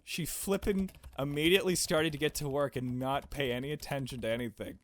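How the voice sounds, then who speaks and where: A man reading a visual novel aloud in an expressive, chatty, theatrical way, breaking off to react to it. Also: a little high, fairly quick. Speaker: gameplay narrator.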